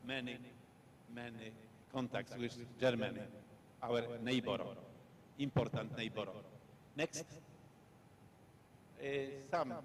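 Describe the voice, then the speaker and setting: Polish-accented male guest lecturer, slow and halting, faint rumble behind. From Poland. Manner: halting, repetitive, short fragmented phrases. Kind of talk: academic lecture with slides. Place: lecture hall.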